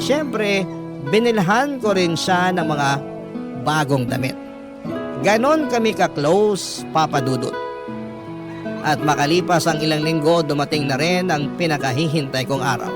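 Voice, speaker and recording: Filipino guy reading a letter story for radio, emotive, slightly high voice, guitar music behind. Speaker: radio drama narrator reading a listener's letter. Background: guitar and instrumental music.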